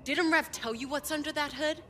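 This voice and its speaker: A woman's voice: high-pitched, normal speed. A woman playing a smug, cold, scheming female villain in a video game cutscene. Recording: quiet voice under loud noise.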